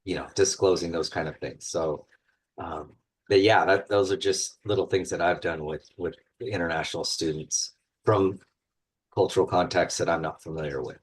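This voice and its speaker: A man's voice: sits low, steady tone, moderate pace, slightly rough. A man who teaches at a university, speaking conversationally in a panel discussion, thoughtful and full of fillers. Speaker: university instructor.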